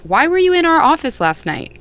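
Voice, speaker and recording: An earnest farm owner. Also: female voice, high voice, sing-song, a little fast, fairly bright tone, heavily acted. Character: worried woman who co-runs a family farm. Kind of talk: audio drama acting.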